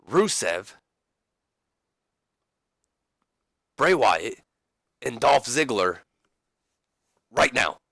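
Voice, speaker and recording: A man voicing an excitable older wrestling emcee who placates rivals, halting and repeating his words. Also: medium pitch, gravelly, no room sound, clean studio sound, exaggerated voice. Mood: announcing.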